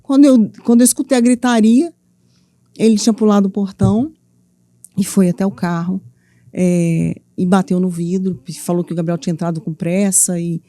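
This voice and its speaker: A middle-aged woman from Minas Gerais with a Minas accent, telling her story as a studio interview guest with lively, playful earnestness. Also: medium pitch, normal speed, dry recording. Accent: Minas Gerais Brazilian Portuguese. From Minas Gerais, Brazil. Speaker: guest, author and mentor.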